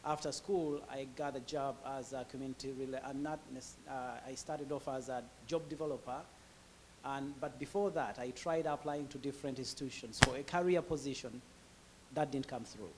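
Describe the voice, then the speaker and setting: A man's voice: medium pitch, steady tone, moderate pace, slight background noise. A man with a Ugandan accent telling his personal story in a hesitant way, full of filler sounds and restarts. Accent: Ugandan English. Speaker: guest speaker.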